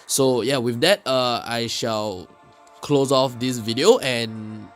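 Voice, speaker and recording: A man giving casual, unscripted game commentary as a video host, explaining things in a hesitant way with pauses mid-sentence. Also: medium pitch, fairly even intonation. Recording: loud music behind the voice. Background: loud music under the commentary.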